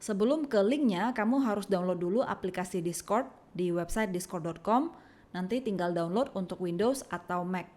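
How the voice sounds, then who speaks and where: A woman presents a software tutorial as scripted narration. Her voice sits at an average pitch for a woman, and she explains things step by step in an instructional way. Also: moderate pace.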